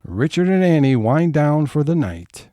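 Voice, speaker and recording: A man's voice: on the high side, big pitch swings, moderate pace, dark tone, faint music behind. A man playing the scene-setting narrator of an audio theatre comedy-drama.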